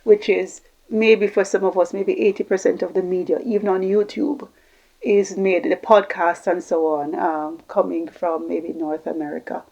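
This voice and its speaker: A woman's voice: on the low side, steady tone, normal speed, creaky, darkish tone. A middle-aged woman from Jamaica, talking unscripted as a communication coach and rambling through long lists of examples. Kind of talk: unscripted talk to camera. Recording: slightly dull lo-fi recording.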